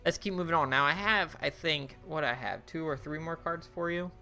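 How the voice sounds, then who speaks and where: A man's voice: fairly high pitch, normal speed, vocal fry, bright, forceful tone, faint music behind. A young man casually reviewing cards for a card game, thinking aloud with many fillers and restarts, his voice getting worn. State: losing his voice.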